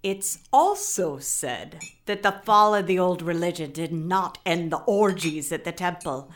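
A woman's voice: on the low side, animated, a little slow. An actress playing a learned, frank woman telling old legends in a radio drama, in an erudite storytelling manner. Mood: scholarly.

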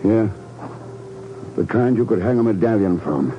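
A cool, shrewd spy hero of old radio, played by a man. His voice is fairly low, dry and terse, on a muffled 1950s recording with some faint noise. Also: animated, normal speed, lightly acted. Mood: terse, grim. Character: cool, shrewd male secret agent. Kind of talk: 1950s radio drama acting.

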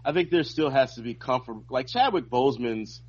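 Comedian guest, male, American, mid-pitched voice, casual conversation, slightly muffled lo-fi recording. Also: lively intonation, a little fast, husky. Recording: dull, lo-fi remote-sounding audio.

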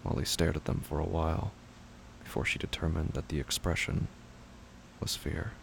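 A man narrating scripted horror fiction in a measured, somber, deliberate manner. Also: extremely low pitch, steady tone, creaky, a little hiss or hum.

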